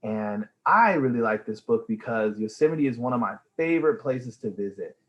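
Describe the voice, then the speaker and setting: A man reading a storybook aloud for young children in an enthusiastic, expressive way. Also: mid-range voice, normal speed, highs cut off. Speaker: read-aloud reader for children.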